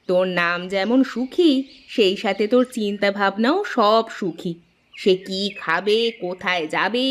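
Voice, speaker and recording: A woman's voice: fairly high pitch, lightly acted. A woman playing a melodramatic, scolding village mother of two daughters in an exclamatory, teasing cartoon style. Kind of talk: Bengali moral-story cartoon acting. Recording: music behind the voice.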